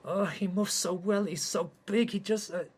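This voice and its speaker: A man's voice: high-pitched, fairly even intonation, moderate pace, husky, pitched above the performer's own voice. A man voicing a flirty Eastern European woman in her forties, gushing and patronizing.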